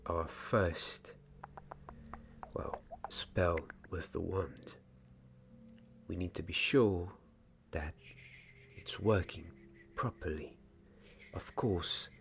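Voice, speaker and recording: A young man doing soft-spoken, half-whispered ASMR roleplay as a gentle wand maker's apprentice, speaking in a hushed, deliberate, halting way close to the microphone. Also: low-pitched, vocal fry, slight background noise. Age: young adult. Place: small candlelit indoor set.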